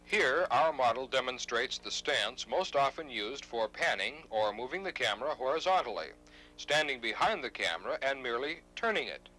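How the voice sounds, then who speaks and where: Male instructional narrator. Scripted, formal, precise delivery, animated pitch, crisp forward brightness. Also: normal speed, low-quality recording. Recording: 1970s instructional videotape narration.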